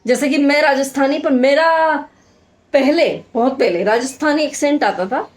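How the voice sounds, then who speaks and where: Woman, 30s, home vlogger with a light Hadoti Rajasthani lilt, easygoing and repetitive, fairly high voice. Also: moderate pace. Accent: Hindi with a mild Hadoti Rajasthani tone. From Rajasthan, Hadoti region. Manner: chatty, repeats phrases for emphasis, mixes in English words. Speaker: vlogger, homemaker. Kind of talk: casual chatty daily vlog.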